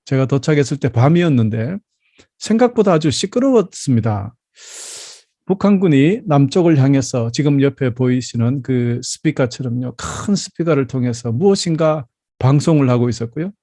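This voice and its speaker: A South Korean man in his fifties delivers a sermon with a soft, mellow tone at an average male pitch. He tells stories from his own life in a measured, explanatory manner, recorded close and dry with little room sound. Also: lively intonation, dry recording. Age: 50s. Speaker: pastor. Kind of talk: Sunday sermon with personal storytelling.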